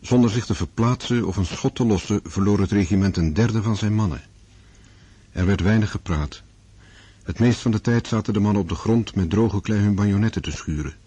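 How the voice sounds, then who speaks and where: A man narrating a war story in a radio drama, measured and sombre. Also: fairly low pitch, warm tone, husky, dry recording. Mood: sober. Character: sober storyteller of a war novel.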